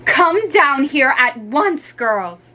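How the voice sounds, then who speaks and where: A woman voicing a stern, disappointed mother who scolds in measured words, in a storybook reading. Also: high-pitched, lively intonation, fairly bright tone, voice pushed up, exaggerated voice.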